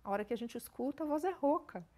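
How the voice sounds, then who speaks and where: A woman speaks at a steady, measured pace, explaining voice care as a speech therapist. She keeps to plain, practical examples and a calm teaching tone. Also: high voice. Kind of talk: explanatory interview answers.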